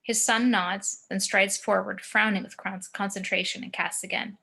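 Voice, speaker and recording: Woman reading stage directions for a script read, bright clear-cut tone, average pitch. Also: flat delivery, moderate pace. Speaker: narrator of screenplay action. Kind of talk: scripted narration, screenplay table read.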